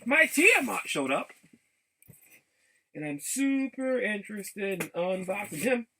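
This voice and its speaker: A man rambling casually as a vlogger, enthusiastic about his hobbies, often halting, repeating words and backtracking. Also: high-pitched, lively intonation, sharp tone, slight background noise, poor audio. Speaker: vlogger showing game and figure pickups. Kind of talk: casual rambling vlog chat.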